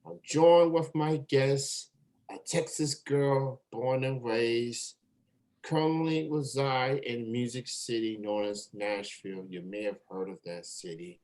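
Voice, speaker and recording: A man hosting a casual online interview, talking in a halting way and repeating words. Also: medium pitch, steady tone, a little mellow, low-quality recording. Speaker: show host interviewer.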